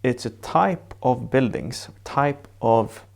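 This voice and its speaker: A man with a Swedish accent, a Swedish native speaker, answering interview questions as an architect in a measured, reflective way, laying out ideas step by step. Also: medium pitch, lively intonation, creaky. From Sweden. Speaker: architect guest. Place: sitting indoors in Norway.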